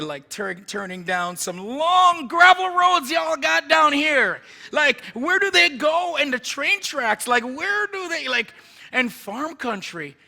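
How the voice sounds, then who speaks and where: A man's voice: extremely high pitch, creaky, bright, forceful tone. A man from Jamaica with a Jamaican accent, preaching as a guest in a church in a chatty, rambling, self-joking way while moving around. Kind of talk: informal sermon. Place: church sanctuary.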